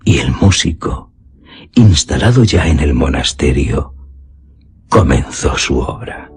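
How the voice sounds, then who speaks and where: A man's voice: rumbling low, lively intonation, slow, slightly rough. A man narrating a literary radio drama in a solemn, theatrical, emphatic manner. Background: music.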